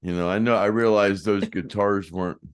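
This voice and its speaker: An older man with a deep voice telling rambling stories in a casual chat, often trailing off and restarting. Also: fairly even intonation, moderate pace, smooth. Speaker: host, former band roadie.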